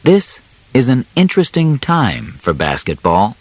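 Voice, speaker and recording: A man reading a scripted audiobook in simple, measured phrases with clear pauses. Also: medium pitch, wildly swooping pitch, dry recording. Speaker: audiobook narrator. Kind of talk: scripted narration of a simplified biography for learners.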